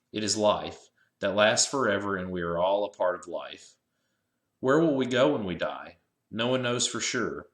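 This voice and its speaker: A man reads a storybook and its dialogue in a fairly low voice. The recording is noticeably roomy. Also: a bit bright. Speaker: amateur reader, choir member. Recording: roomy, echoing sound.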